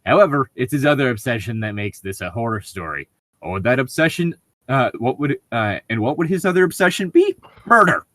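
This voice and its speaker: A man's voice: mid-range voice, sing-song, brisk, fairly bright tone, dry recording. A man reading stories aloud as a co-host, with casual joking commentary.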